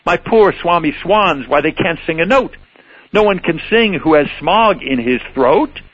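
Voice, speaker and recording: A man's voice: a little high, expressive, unhurried, breathy edge, muffled recording, voice pushed up. A man voicing a small, grumpy old forest guardian creature, scolding in rhyming verse.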